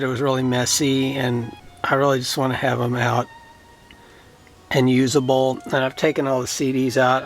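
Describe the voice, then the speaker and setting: A middle-aged man gives an informal, unscripted tour of things at home. His pitch sits in an average male range. He rambles a bit in a plain, matter-of-fact way, as someone thinking aloud while he shows things. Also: low-quality recording. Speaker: vlogger showing home electronics. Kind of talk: casual unscripted show-and-tell. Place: indoors at home.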